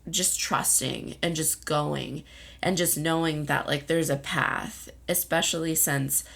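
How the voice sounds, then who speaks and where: Low slow woman doing a card reading, lots of vocal fry, soft wandering talk, voice keeps dropping out. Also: fairly even intonation. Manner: meandering, searching for words, gentle. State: voice going in and out. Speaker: intuitive card reader and channel host. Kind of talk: casual spiritual card reading.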